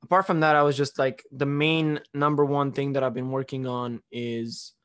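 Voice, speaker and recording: A man's voice: mid-range voice, level tone, normal speed, fairly bright tone, clean tone. A young man from Romania, a podcast host chatting casually at home into a close studio mic, rambling with restarts and fillers. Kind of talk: casual chat. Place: living room at home. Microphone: studio mic on boom arm, close.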